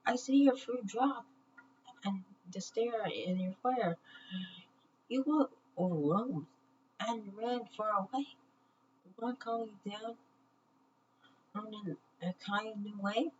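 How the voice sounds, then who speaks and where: A woman voicing a kind, caring talking brain who gently encourages, in a children's storybook, on a muffled, noisy recording. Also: fairly high pitch, slow, pauses often, voice pushed up.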